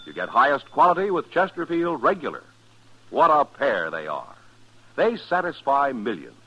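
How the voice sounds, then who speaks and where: An American man announcing a vintage radio commercial with a raspy voice and an emphatic, polished sales delivery. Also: mid-range voice, wildly swooping pitch, moderate pace. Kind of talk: 1950s radio advertising pitch. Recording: muffled vintage radio recording.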